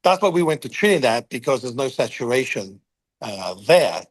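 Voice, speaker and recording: A man being interviewed as a company chief, talking in quick bursts full of fillers and restarts. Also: medium pitch, very animated, slightly rough, dry recording. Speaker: CEO of a contact center firm, guest. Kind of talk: business interview, unscripted.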